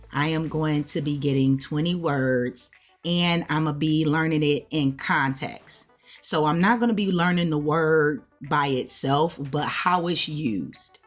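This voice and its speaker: An American woman talking casually to viewers as a language learner, explaining things conversationally with frequent little check-ins like okay and right. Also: sits low. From United States. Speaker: language learner hosting a video channel.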